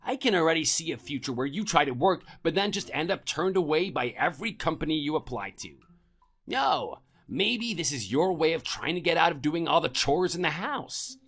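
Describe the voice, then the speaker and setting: A man's voice: on the high side, expressive, moderate pace, fairly bright tone, slightly rough, soft background music, exaggerated voice. An actor playing a domineering, contemptuous middle-aged husband scolding his wife in a scripted audio drama. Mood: belittling.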